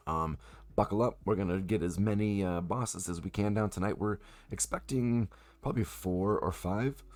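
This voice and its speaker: A man streaming a video game, his voice hoarse from a cold, talking casually with lots of ums. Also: low-pitched. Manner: relaxed, lots of ums and uhs.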